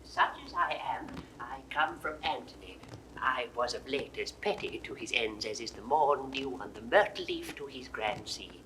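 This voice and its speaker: An actor playing a humble schoolmaster sent as an envoy, speaking in a formal, deferential way in a 1960s studio Shakespeare recording. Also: male voice, medium pitch, animated, moderate pace, bright, forceful tone, a little hiss or hum, poor audio.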